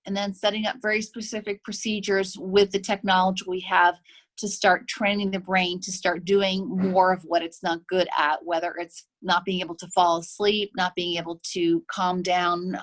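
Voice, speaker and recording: A woman chiropractor presents to a group in an easy, conversational way. She acts out what her patients say and often stops to ask "make sense?" Her pitch sits in the middle range for a woman. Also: a bit bright. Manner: acts out patients' remarks in quotes. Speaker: chiropractor and health practice owner. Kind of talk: presentation to an association.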